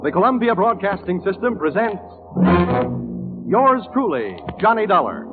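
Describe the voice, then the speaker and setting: A man's voice: sits high, sing-song, normal speed. A man announcing a 1940s radio show with a showy, emphatic scripted delivery. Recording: tinny 1940s radio broadcast with music.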